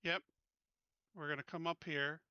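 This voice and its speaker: A man with a very bright, smooth voice plays a tabletop game. He thinks through his plans aloud in a decisive, casual way. The sound is dry and close. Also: on the high side, fairly even intonation, measured pace, dry recording, muffled recording. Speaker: player.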